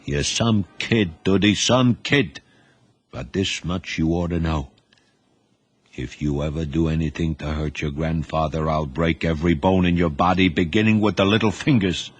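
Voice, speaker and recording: A man voicing a gruff, stern middle-aged businessman uncle in an audio drama. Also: deep voice, normal speed, no echo.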